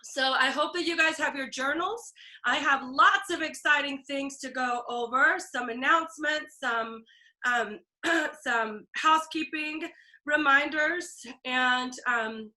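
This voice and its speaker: Woman team leader on a video call, congested and hoarse-edged, high and bright, chatty with lots of ums. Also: takes it slowly. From Texas. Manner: upbeat, chatty, many ums and throat clearing. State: stuffy and scratchy throat, coughing.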